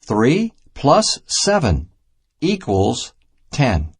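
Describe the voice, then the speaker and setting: A man reading a children's math storybook aloud in a deliberate, simple teaching manner. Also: medium pitch, huge pitch swings, husky. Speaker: narrator of a children's math reader.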